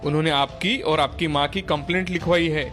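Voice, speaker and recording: A man's voice: a little high, fairly even intonation, a little fast, fairly bright tone, faint music behind, natural voice. A man playing a formal police inspector in a Hindi cartoon moral story. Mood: official.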